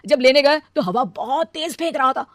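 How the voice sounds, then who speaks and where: A woman's voice: high-pitched, lively intonation, normal speed, no room sound, clean studio sound. Woman voicing a glib, boastful young husband. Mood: glib, defensive. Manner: glib, hesitates then brazenly justifies himself. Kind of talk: animated cartoon dialogue.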